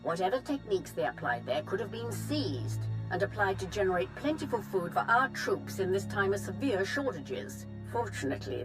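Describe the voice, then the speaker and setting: An actress playing a calculating, assured Sith empress in a video game, with imperious, formal and measured phrasing. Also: female voice, lowish voice. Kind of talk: dramatic game voice acting.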